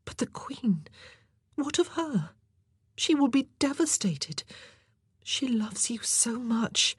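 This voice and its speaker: A timid young gentlewoman from a historical audiobook, acted out by the narrator. Her voice is high, whispery and breathy, full of hushed shock, in a dry recording. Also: big pitch swings, slow, no echo, exaggerated voice. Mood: dismayed.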